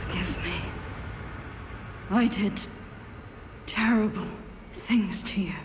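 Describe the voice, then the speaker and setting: A woman's voice: mid-range voice, background noise, lightly acted. An actress playing a tormented, fierce woman warrior in a video game, intense and anguished in delivery. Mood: remorseful, dying. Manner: intense, anguished, halting when quiet. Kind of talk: dramatic video game acting.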